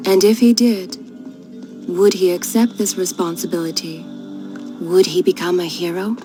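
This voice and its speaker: A woman playing a grave storyteller, narrating an epic legend in a measured, portentous manner for a video game. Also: on the low side, steady tone, lightly acted. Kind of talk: scripted dramatic narration. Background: music.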